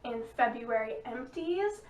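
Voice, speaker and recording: A woman's voice: a little high, expressive, unhurried, roomy sound, distant mic. A young woman with a cold, sounding sniffly and stuffy, chatting frankly through a product review vlog. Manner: chatty, frank, drops into asides. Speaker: beauty vlogger. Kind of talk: casual product review chat.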